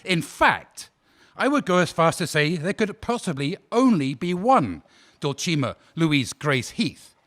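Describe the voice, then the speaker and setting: A man's voice: a little high, big pitch swings, measured pace, a bit bright. A man playing a defense counsel in a courtroom radio drama, questioning a witness politely but insistently. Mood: probing. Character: male defense counsel, formal and probing. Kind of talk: audio drama acting.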